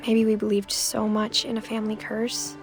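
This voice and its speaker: A woman playing a thoughtful young woman, narrating a game in a quiet, understated, reflective voice-over. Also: mid-range voice, completely flat delivery, normal speed, over music, natural voice. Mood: uneasy, reflective. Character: thoughtful young woman uncovering her family's secrets.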